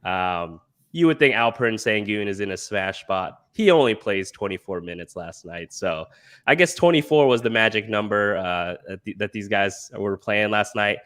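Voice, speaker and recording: A man's voice: lowish voice, lively intonation, normal speed, a bit bright. A congested man with a nasal sound, giving rambling, frustrated sports fantasy analysis. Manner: rambling, frustrated, filler-heavy. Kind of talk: casual analysis chat.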